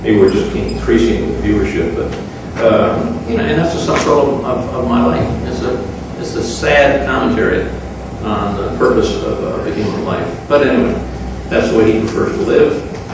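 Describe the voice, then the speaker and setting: A man speaking off the cuff as an official at a public meeting, halting, solemn and aggrieved. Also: on the low side. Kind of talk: extemporaneous public remarks. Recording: very echoey room, distant mic, clear noise.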